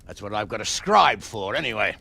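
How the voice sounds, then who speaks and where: An actor playing a blunt, impatient nobleman from the countryside in a video game cutscene, with a rough, husky voice. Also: lowish voice, big pitch swings, brisk, bright, forceful tone, full character voice. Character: gruff, hot-tempered countryside nobleman commanding soldiers.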